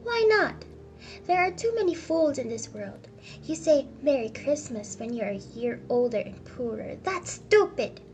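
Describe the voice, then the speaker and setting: A woman's voice: a little high, expressive, moderate pace, few pauses, mellow, soft background music. A woman voicing a cold, mean old miser in an audiobook, curt, scornful and emphatic. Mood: irritated, scornful.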